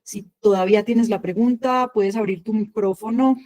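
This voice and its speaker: A woman's voice: mid-range voice, fairly even intonation, moderate pace, dark tone. A woman moderating an online panel over a video call, speaking in a friendly, affectionate way with diminutives for colleagues.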